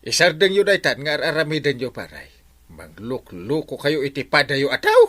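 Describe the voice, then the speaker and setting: An actor playing an exasperated, blustery village captain who scolds and exclaims in a comedic radio drama. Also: male voice, a little high, expressive, moderate pace, fairly bright tone. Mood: scolding.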